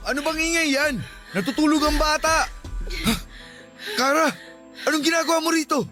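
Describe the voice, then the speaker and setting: A man's voice: extremely high pitch, moderate pace. An actor playing an agitated cheating husband in a Filipino radio drama, defensive and scolding as he shifts blame. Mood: annoyed. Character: cheating husband, agitated, blaming his mistress. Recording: music bed behind voice.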